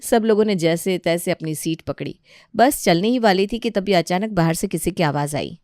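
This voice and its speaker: A woman's voice: lowish voice, moderate pace, a little mellow, no room sound, studio quality. A woman narrator reading a scripted family story.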